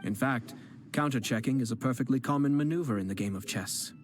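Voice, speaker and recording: An actor playing a poised young clan head and cunning strategist, speaking in a measured, courteous way in video game dialogue. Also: male voice, medium pitch, very noisy, close to an everyday voice. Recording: game dialogue with music underneath.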